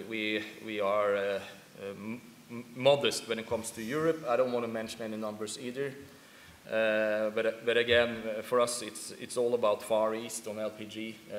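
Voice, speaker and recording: A man speaking as a conference panelist, halting and full of fillers. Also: lowish voice. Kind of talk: panel discussion answer. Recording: echoey conference hall, faint hiss.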